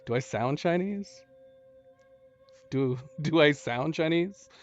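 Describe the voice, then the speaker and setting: A man's voice: on the high side, lively intonation, slow pace, dry recording, muffled recording. A man with an American accent giving casual live commentary while playing a video game, chatting with viewers and laughing often. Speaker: gaming streamer. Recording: game music underneath.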